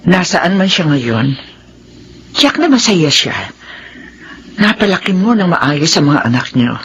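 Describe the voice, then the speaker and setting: A woman's voice: lowish voice, very animated, a little slow, husky, muffled recording, heavily acted. A woman with a Filipino accent playing a caring, motherly older aunt in a radio drama. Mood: comforting.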